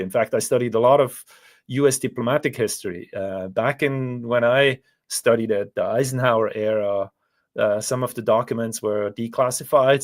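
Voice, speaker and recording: A middle-aged man from Switzerland, a business professor, answering in a thoughtful, modest way with hesitant restarts. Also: mid-range voice. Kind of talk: podcast interview.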